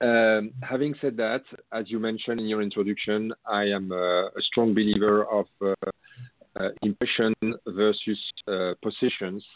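Low-voiced Frenchman over a phone line. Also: steady tone, normal speed, no room sound. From France. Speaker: interview guest, company founder. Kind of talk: conversational interview answers. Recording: roomless, narrow phone-line sound.

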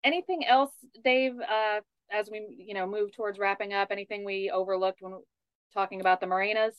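A woman's voice: mid-range voice, fairly even intonation, a little fast, clean tone, no echo. A woman hosting in a conversational style, heard on dull, lo-fi audio. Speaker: host.

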